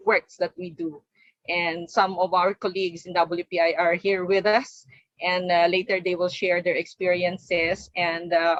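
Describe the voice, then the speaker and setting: A woman in her forties introducing an online panel over a video call, speaking in a measured way with frequent fillers and restarts. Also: on the low side, no room sound. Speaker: association president hosting panel. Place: home, virtual office background. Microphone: wired earphone mic on video call. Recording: dry, dull video call audio.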